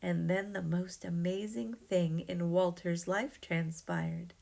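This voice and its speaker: Woman doing storytime, lots of fry in her voice, unhurried, reads it pretty dramatically. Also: on the low side, flat delivery, darkish tone. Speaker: storyteller reading aloud. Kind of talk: expressive read-aloud of a rhyming children's story.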